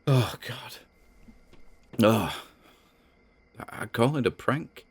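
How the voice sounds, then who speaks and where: An actor playing a spooked man who rambles haltingly and nervously as he records private audio logs in an audio drama. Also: mid-range voice, expressive, slightly rough, exaggerated voice. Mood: spooked, rambling. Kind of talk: dramatic audio-log monologue.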